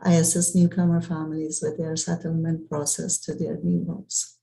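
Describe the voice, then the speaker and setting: Low gravelly woman, steady introduction. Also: moderate pace, low-quality recording. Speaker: settlement counselor, guest. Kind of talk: prepared self-introduction. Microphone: video call mic.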